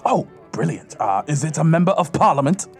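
An actor playing a petulant, excitable old miser in an audio drama, with blustery theatrical delivery. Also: male voice, on the high side, fairly quick, faint music behind.

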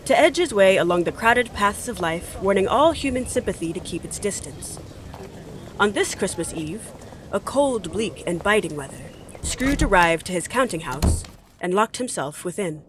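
A woman's voice: mid-range voice, animated, moderate pace, noisy background. A woman narrating a story theatrically, with emphatic, dramatic delivery. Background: music.

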